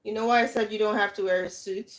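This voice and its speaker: Nigerian woman, livestream host, emphatic and repetitive, mid pitch. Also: quick pace, rough recording. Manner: lively, emphatic, repeats herself. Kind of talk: casual advice chat on a livestream.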